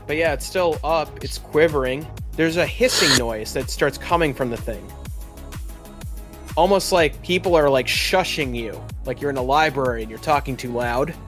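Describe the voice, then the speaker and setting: A man with a slightly high voice runs a tabletop roleplaying game as its game master. His narration is descriptive, with dramatic pauses, over soft background music. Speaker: game master and podcast host.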